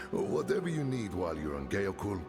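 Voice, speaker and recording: A deep, gravelly fatherly town elder. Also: big pitch swings, in a large hall, over loud music, exaggerated voice. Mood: calm kindly. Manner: warm, fatherly, unhurried. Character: kindly older male town leader, gentle and steady.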